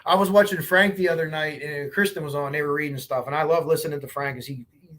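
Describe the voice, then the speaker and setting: A man's voice: on the high side, fairly even intonation, quick pace, a bit bright. A man with a Pittsburgh accent hosting a true crime livestream, casually reading court documents aloud and talking bluntly to his viewers. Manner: blunt, chatty, swears, talks to viewers and chat. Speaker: true crime show host.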